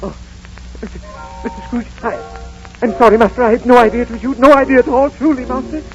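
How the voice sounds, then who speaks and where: A man acting a meek, good-natured clerk with an excitable, deferential manner in 1930s radio style. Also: very high-pitched, brisk. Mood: flustered, meek. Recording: muffled 1930s radio recording over music.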